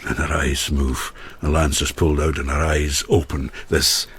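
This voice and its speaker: A man playing an earthy, haunted widower in a radio drama, an expressive teller who halts and repeats himself. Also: extremely low pitch, normal speed, a little hiss or hum. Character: haunted, earthy widower who cooks and once went mad.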